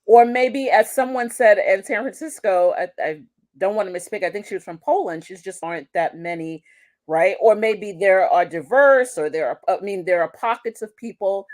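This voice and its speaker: A woman's voice: medium pitch, moderate pace, a little mellow. A woman who works as an international manager, speaking as a guest in a conversational interview. She talks reflectively, restarting sentences and asking rhetorical questions. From United States.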